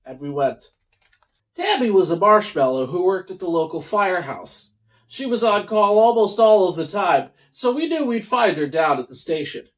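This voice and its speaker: A host reading a comic children's storybook aloud in a theatrical, mock-earnest way with deliberate pauses. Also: medium pitch, very animated.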